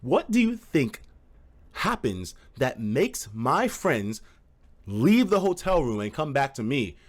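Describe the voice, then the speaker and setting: A man streaming casual, animated stories to a live chat, with slangy, profane phrasing and dramatic emphasis. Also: mid-range voice, slow pace. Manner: profane, slangy, acts out quoted dialogue; dramatic emphasis and repetition for suspense.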